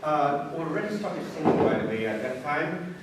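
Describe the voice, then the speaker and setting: A man in his thirties from Hungary with a Hungarian accent, giving an informal talk about himself to an audience in a halting, self-deprecating way. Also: fairly high pitch, animated. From Budapest, Hungary. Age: 30s. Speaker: college science teacher giving a talk. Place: echoey indoor room before an audience. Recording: echoey room, distant mic, noisy background.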